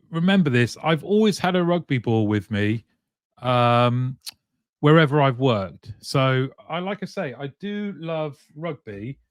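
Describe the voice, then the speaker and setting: A man's voice: mid-range voice, no room sound, studio quality. A man from the UK hosting a casual livestream, reading viewer comments aloud and laughing often.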